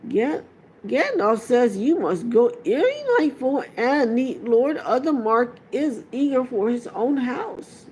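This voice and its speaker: A woman voicing an ancient male tree creature in a storybook, ponderous and hesitant, humming as he deliberates. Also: a little high, lively intonation, a little mellow, a little hiss or hum, pitched above the performer's own voice, poor audio. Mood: musing, surprised.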